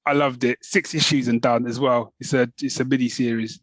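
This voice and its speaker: A man's voice: mid-range voice, fairly even intonation, fairly quick, fairly bright tone. A man with a mid-range voice co-hosts a podcast, talking up comics with easy enthusiasm. He sounds close to the mic in a dry space with no echo. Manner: enthusiastic, fan recommending comics. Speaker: podcast co-host. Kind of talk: casual chat and reading a comic blurb.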